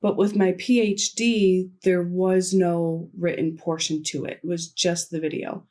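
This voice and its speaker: A woman interprets a signed keynote into spoken English, her voice sitting slightly low. She works phrase by phrase, measured and steady, pausing as she follows the signer. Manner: measured, delivered in short phrases with pauses. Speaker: sign language interpreter voicing a keynote. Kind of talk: simultaneous interpretation of a conference talk.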